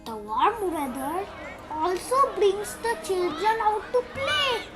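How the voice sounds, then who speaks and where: Storybook read-aloud: very high young-sounding voice, unhurried, dramatic delivery. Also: expressive, over loud music. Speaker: children's story reader.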